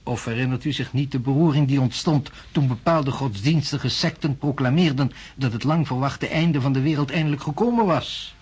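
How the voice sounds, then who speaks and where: An actor playing an impatient, self-assured professor of science in a radio drama, speaking formally and pedantically. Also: male voice, medium pitch, moderate pace, few pauses, a little mellow, breathy edge, close to an everyday voice. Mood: forceful. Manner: formal, pedantic, emphatic lecturing.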